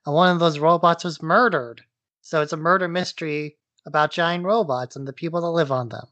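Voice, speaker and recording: A man's voice: fairly high pitch, steady tone, brisk, pushed and bright. Offhand male podcast co-host. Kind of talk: casual opinionated chat.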